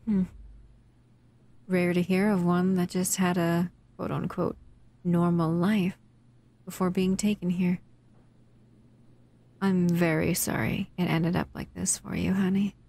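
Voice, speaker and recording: A woman voicing a doting, seductive female shadow monster in an intimate ASMR roleplay, breathy and soothing, often whispering. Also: fairly low pitch, a little mellow, exaggerated voice. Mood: gentle, sympathetic.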